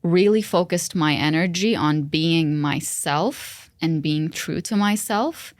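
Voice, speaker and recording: Podcast co-host, woman, Arabic first language, low voice, earnest and reflective, dry close mic. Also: slow pace, dry recording. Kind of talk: casual podcast conversation.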